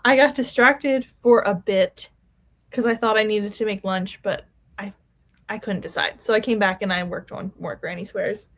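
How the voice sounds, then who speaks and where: A woman's voice: medium pitch, fairly even intonation, moderate pace. A woman who writes books chatting on a video call, answering in an easygoing, rambling way. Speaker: co-host and writer. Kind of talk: casual chat on a video call.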